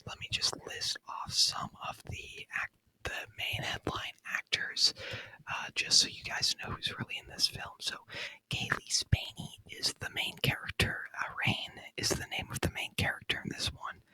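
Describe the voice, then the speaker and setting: A man speaking in a breathy whisper, chatting casually in ASMR style and softly repeating words, close to the microphone. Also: moderate pace, poor audio. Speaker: ASMR video creator reviewing a movie.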